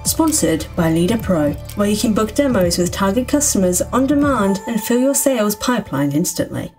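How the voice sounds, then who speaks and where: A woman's voice: mid-range voice, big pitch swings, normal speed, a little mellow. Upbeat woman announcer over music. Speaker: show announcer. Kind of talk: scripted podcast intro with sponsor read.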